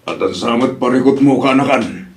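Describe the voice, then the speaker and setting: A man's voice: mid-range voice, moderate pace, husky. A man with an Ilocano accent playing an easygoing older village uncle in a radio comedy drama.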